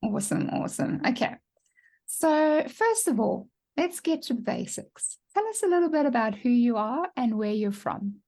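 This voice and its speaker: A woman's voice: on the high side, sing-song, moderate pace, a little mellow. A woman hosting a podcast interview, upbeat and encouraging as she asks her guest questions. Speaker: podcast host.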